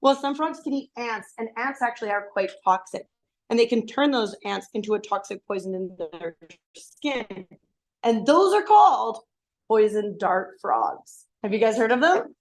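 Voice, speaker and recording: A woman in her thirties with a slightly hoarse voice, showing live animals to young children in a classroom. She explains in an animated, friendly teaching way, asking the kids questions as she goes. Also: fairly high pitch, moderate pace, rough recording. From Canada. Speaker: science educator. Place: elementary school classroom, indoors.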